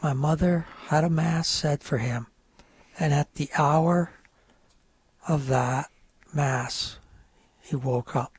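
An older man whose first language is French, telling his life story in a relaxed, rambling interview with many fillers. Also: fairly high pitch, takes it slowly, pauses often, husky, dry recording. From North Country of New York. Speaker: guest.

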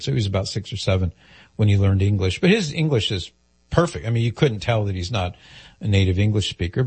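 A man hosting a radio talk show, chatting casually and reading a listener's email aloud, laughing easily and thinking out loud. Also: on the low side, breathy edge, no echo.

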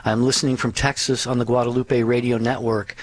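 A man's voice: mid-range voice, level tone, brisk. A man hosting a religious call-in radio show, speaking in an earnest, reassuring way. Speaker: radio host.

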